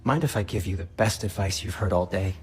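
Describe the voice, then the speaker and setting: An actor playing a gentle, courteous middle-aged advisor in a video game, measured and politely wry. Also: male voice, deep voice, faint music behind, natural voice. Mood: kindly, wry. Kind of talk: video game cutscene acting.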